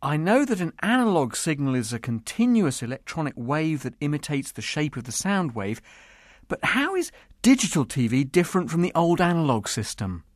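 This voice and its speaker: A woman's voice: deep voice, sing-song, brisk, no echo, studio quality. A woman voicing a hesitant, curious young man who is a new trainee at a TV station. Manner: hesitant, questioning.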